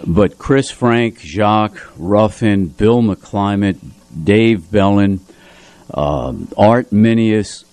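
A middle-aged man chatting casually as a community radio host, pausing to recall details as he reminisces. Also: fairly low pitch, darkish tone, clean tone, dry recording. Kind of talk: casual studio conversation. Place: radio studio, dry sound.

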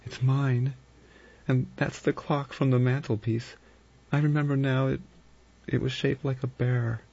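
A man's voice: medium pitch, normal speed, a little mellow, slightly rough, dull audio, pitched above the performer's own voice. A man voicing an anxious young woman in an audiobook, with a pleading, urgent manner. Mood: shaken. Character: anxious young Englishwoman, frightened and insistent. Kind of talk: audiobook character acting.

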